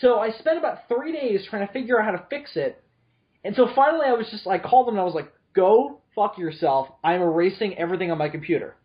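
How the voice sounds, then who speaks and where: A young man from New York with a high-pitched voice, hosting a podcast and rambling through stories with lots of fillers and restarts. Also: animated, fast talker. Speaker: podcast host. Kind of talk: casual chat. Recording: lo-fi audio.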